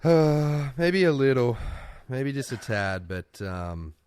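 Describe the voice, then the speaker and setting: A man co-hosting a casual podcast, hungover and worn out, joking and laughing loudly. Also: medium pitch, very animated, takes it slowly. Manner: loud, laughing, joking banter. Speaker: podcast co-host. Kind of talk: casual chat.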